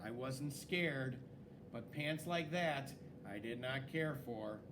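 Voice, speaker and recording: A man reading a children's story aloud in an expressive, dramatic way, with swooping emphasis. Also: medium pitch, takes it slowly, background noise. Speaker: story reader.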